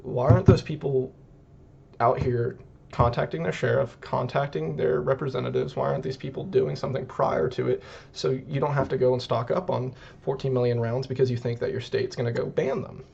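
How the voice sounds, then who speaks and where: A man from Northern California talks fast with a gravelly edge, rambling and correcting himself mid-sentence as he co-hosts a casual podcast. The recording sounds dull and lo-fi. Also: medium pitch, steady tone, a little mellow. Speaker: podcast co-host.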